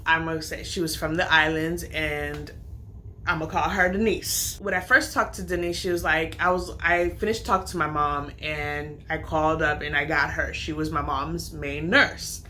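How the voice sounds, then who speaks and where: Woman vlogger, storytime narration, low pitch, crisp forward tone, chatty and full of reported talk. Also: sharp tone, slight background noise. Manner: chatty, retells talks with "I'm like" quotes. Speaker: vlogger and nursing student. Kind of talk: storytime retelling.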